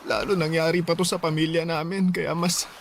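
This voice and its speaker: A man's voice: fairly high pitch, little pitch movement, fairly quick, a little hiss or hum, close to an everyday voice. A man playing a grieving young man. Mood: earnest. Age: young adult. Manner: sorrowful, earnest. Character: grieving young man, dutiful eldest son. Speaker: boyfriend, college student in radio drama. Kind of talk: radio drama acting.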